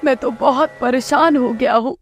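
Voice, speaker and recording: A woman's voice: high-pitched, moderate pace, slight background noise, lightly acted. A woman voicing a poor, kind-hearted village husband in a cartoon moral story, humble, earnest and plaintive. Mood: weary, worried.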